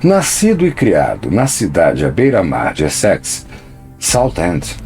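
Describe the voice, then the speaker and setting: Deep-voiced dramatic male narrator. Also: darkish tone. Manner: dramatic, measured storytelling. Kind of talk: scripted documentary narration.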